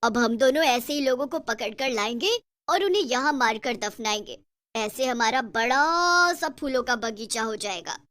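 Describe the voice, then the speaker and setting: A woman's voice: a little high, animated, moderate pace, a bit bright, no room sound. A woman voicing a gloating, bossy, selfish female witch with a taunting, cackling manner in a horror cartoon story. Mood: pleased, teasing.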